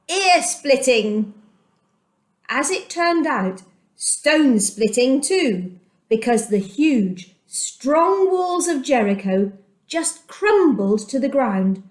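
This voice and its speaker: A woman reading aloud to children as a storyteller, with deliberate, dramatic emphasis and pauses. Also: high voice. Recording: echoey room.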